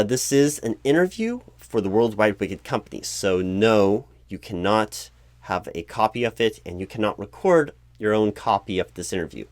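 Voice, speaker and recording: A man acting as a corporate recruiting manager with a lowish voice, recorded close and dry. He is polite and bureaucratic, a little flustered, halting and repeating himself as he patiently over-explains in a one-sided ASMR interview roleplay, spoken aloud. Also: no room sound.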